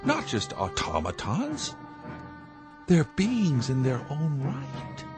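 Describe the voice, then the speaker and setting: A man's voice: a little high, huge pitch swings, takes it slowly, music in the background, exaggerated voice. An actor playing a proud, earnest inventor father in a radio drama, speaking with emphatic, theatrical deliberation. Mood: proud, insistent.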